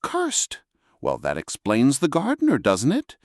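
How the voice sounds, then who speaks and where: A man voicing an excitable middle-aged butcher's wife who gossips eagerly and breathlessly. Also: mid-range voice, measured pace, dry recording, studio quality, pitched above the performer's own voice. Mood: shocked.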